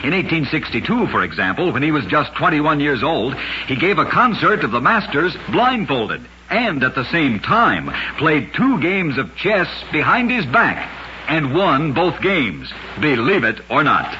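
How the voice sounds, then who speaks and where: A man's voice: fairly high pitch, very animated, measured pace, sharp tone, muffled recording. A man narrating short oddity stories as a radio host, with a dramatic, emphatic scripted delivery on a vintage recording. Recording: noisy, band-limited vintage radio recording.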